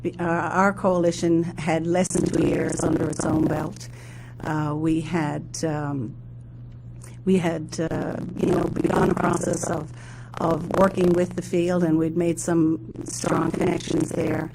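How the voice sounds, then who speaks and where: Low-voiced woman speaker, halting, over hum. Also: flat delivery. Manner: hesitant, many fillers and restarts. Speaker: executive director. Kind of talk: conference presentation. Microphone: handheld mic. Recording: loud background hum.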